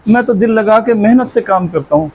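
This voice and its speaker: An actor playing a poor, honest tailor with a deferential, pleading way of speaking in a moral drama. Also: male voice, high-pitched, fairly quick, darkish tone, slight background noise. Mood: humble appeal. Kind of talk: moral story drama acting.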